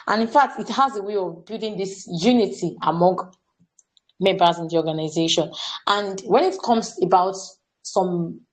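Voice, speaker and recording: Brief: young Nigerian woman, workshop facilitator, earnest, mid-pitched, stumbles on words and restarts. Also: moderate pace, darkish tone, low-quality recording. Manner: earnest, repeats words and says "what's it called" often. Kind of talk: staff training talk.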